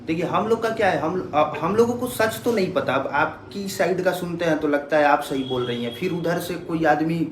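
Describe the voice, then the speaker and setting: A man's voice: fairly high pitch, a little fast, hardly any pauses. Agreeable hesitant man on echoey call. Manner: hesitant, agreeable, brief murmured responses. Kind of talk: phone conversation, sympathetic listening. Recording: echoey call recording with faint noise.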